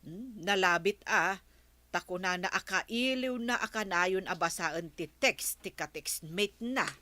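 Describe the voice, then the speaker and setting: An actress playing a nosy village neighbour woman in a comedy radio drama, with an Ilocano accent and expressive exclamations. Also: mid-range voice, unhurried, no room sound. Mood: gossipy.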